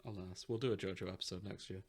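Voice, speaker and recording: A man's voice: sits low, steady tone, quick pace, darkish tone, a little hiss or hum. A man hosting a podcast, talking in a casual, conversational style. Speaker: podcast host. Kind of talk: casual chat.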